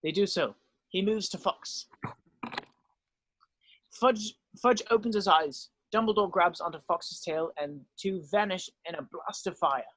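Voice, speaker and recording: A man's voice: high-pitched, measured pace, fairly bright tone, no room sound, poor audio. A man reading stage directions aloud as the narrator of a script read.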